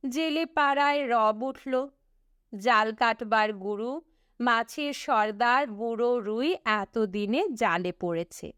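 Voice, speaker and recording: A woman narrating a storybook as an audiobook reader, in a measured, expressive storytelling manner with deliberate breaks between phrases. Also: fairly high pitch, smooth, no echo, clean studio sound.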